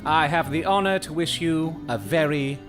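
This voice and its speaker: A man playing a cool, shrewd detective in an audio drama, speaking with formal, measured courtesy and dry irony. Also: on the high side, over music, close to an everyday voice. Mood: dryly courteous. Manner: measured, formal, dryly ironic.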